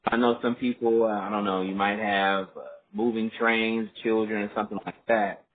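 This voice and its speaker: A man hosting a group phone call, talking casually and politely in a halting, explanatory way. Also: lowish voice, level tone. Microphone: telephone conference line. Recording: muffled phone line with clear noise, faint.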